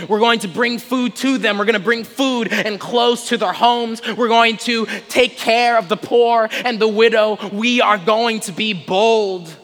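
A man's voice: sits high, bright, forceful tone. A man preaching a conversational sermon, earnest and rhetorical, often checking in with his listeners. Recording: reverberant hall with long echo.

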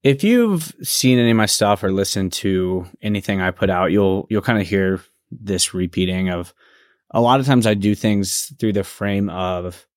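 A man's voice: lowish voice, normal speed, dry recording, clean studio sound. A man co-hosting a casual podcast, talking in a loose, rambling way with lots of "you know" and restarts. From San Antonio area. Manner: rambling, many fillers and restarts. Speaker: podcast co-host.

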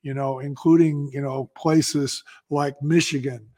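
A man's voice: on the high side, fairly even intonation, measured pace, darkish tone. An American man talking as an interview guest, telling stories conversationally and emphatically, with rhetorical questions. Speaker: interview guest, company founder.

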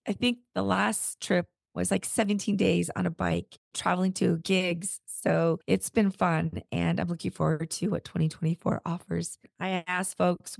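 A hoarse woman hosting her podcast, her voice gravelly and rough from a cold. She talks in a gentle, unhurried, encouraging way, and the sound is close and dry with no room around it. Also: lowish voice, dry recording. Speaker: podcast host. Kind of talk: reflective podcast talk.

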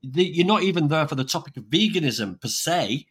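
A man's voice: a little high, animated, a little fast, fairly bright tone, slightly rough. An older man hosting a casual livestream chat over a video call, rambling with frequent restarts. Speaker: online vegan channel host.

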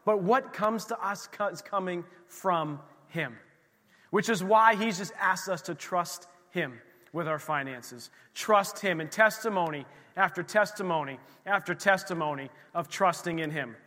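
A man preaching in church, slightly losing his voice, reading scripture slowly and with emphasis. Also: high-pitched, bright, forceful tone. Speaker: pastor. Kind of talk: sermon. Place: church sanctuary. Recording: long hall reverb of a church.

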